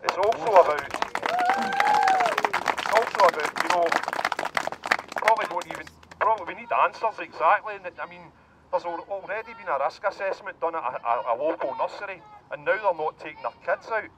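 A man's voice: high-pitched, a little fast. A middle-aged man with an emphatic, repetitive delivery, addressing a protest outdoors through a megaphone. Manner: emphatic, repeats points, rallying. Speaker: local protest speaker. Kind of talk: street protest speech. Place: outdoors by a hotel car park. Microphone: handheld megaphone. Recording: distant outdoor megaphone, crowd noise.

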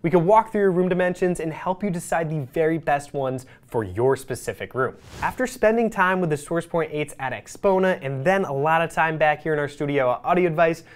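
A man's voice: fairly high pitch, expressive, a little fast, few pauses. A man in his thirties presenting a scripted product review to camera from a studio, polished and upbeat. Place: studio with speakers behind.

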